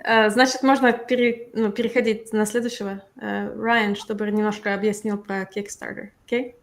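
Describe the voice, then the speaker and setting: A woman with an average-pitched voice hosts an online discussion. She is chatty and appreciative, introducing others informally and stepping in as interpreter. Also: flat delivery, brisk. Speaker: host and interpreter. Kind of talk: informal online meeting hosting.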